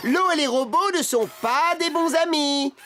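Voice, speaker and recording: Une femme qui joue un petit robot inventeur de dessin animé, poli et tatillon, qui ponctue ses phrases de bourdonnements. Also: female voice, sits high, moderate pace, fairly bright tone. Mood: fussy, cautioning. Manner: fussy, punctuated with robotic buzzing babble. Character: fussy, polite little robot inventor with buzzing catchphrase. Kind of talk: cartoon dub acting. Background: music.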